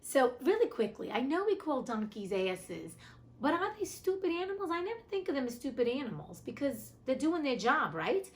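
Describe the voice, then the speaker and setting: A woman's voice: high-pitched, very animated, moderate pace. A woman reading a classic fairy tale aloud, breaking off for chatty, irreverent asides with casual swearing. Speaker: host reading classic stories.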